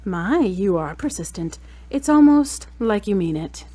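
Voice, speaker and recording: A woman's voice: mid-range voice, sing-song, a little slow, dark tone. A woman playing a frank, exacting girlfriend in an audio drama, wry and challenging, with pointed questions. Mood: teasing.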